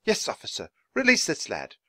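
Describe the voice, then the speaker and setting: A man playing a grand, high-voiced gentleman author. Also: huge pitch swings, moderate pace, full character voice. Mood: indignant. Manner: grand, emphatic oratory. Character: kindly, indignant English gentleman author, theatrical and warm-hearted.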